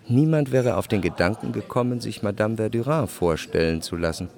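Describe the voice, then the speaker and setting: An actor as a measured, reflective narrator reading scripted literary prose in a radio drama. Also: male voice, lowish voice, lively intonation, vocal fry, darkish tone. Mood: observant.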